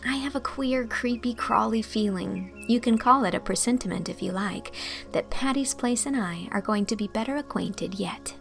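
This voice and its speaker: A woman voicing a dreamy, romantic young woman in an audiobook drama, earnest and full of breathy wonder. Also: on the high side, moderate pace, fairly bright tone, faint music behind. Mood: dreamily sure.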